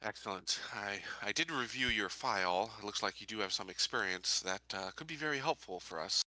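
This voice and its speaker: A man playing a self-important, officious colony security officer in a tabletop game, speaking in halting, restarted phrases. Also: lowish voice, bright, forceful tone, no echo, poor audio. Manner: halting, officious, full of repeated starts. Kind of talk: improvised in-character roleplay.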